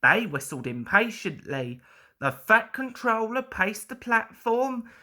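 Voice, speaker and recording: A woman's voice: fairly high pitch, sing-song, slow, sharp tone. A woman reading a children's story aloud as narrator.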